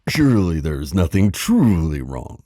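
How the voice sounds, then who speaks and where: Brooding, worried god, played by a male actor: husky, slow, hugely expressive, halting theatrical delivery. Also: low voice, dry recording, clean studio sound, full character voice. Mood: anxious, brooding. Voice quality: husky, dark. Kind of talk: audio drama acting.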